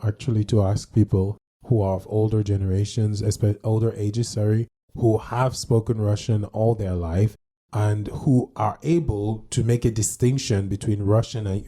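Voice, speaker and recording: A man's voice: on the low side, warm tone. A native English-speaking man co-hosting a podcast, giving his opinions in a casual discussion and often correcting himself mid-thought. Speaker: podcast co-host.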